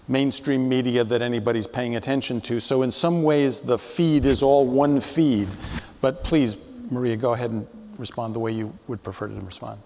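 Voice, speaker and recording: A man in his seventies moderating a public conversation from the stage in a measured, explanatory way. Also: medium pitch, darkish tone. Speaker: moderator, professor emeritus. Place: theatre stage, seated. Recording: reverberant theatre stage.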